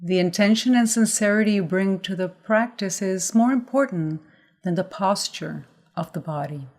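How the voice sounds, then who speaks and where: A woman leading a guided body scan meditation as a mindfulness guide, giving gentle instructions in measured phrases with pauses. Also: mid-range voice.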